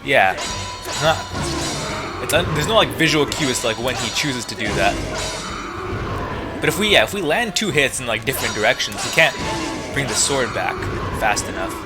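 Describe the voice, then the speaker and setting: A man's voice: medium pitch, very animated, moderate pace, pauses often. A man giving casual let's play commentary, thinking aloud and reacting to the game as he plays. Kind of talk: casual gameplay commentary, reading dialogue aloud. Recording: game music behind the voice. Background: video game music.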